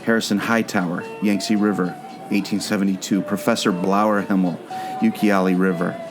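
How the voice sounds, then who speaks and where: A man's voice: deep voice, little pitch movement, normal speed. Earnest man storytelling over guitar music. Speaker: podcast co-host, former theme park skipper. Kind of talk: scripted audio theater dialogue, explanatory storytelling. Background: plucked guitar music.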